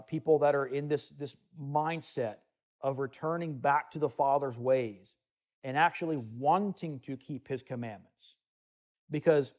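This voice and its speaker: Man, Bible teacher, conversational sermon with asides, dark mellow timbre. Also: mid-range voice. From United States. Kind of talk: teaching sermon with scripture reading. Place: meeting room with listeners.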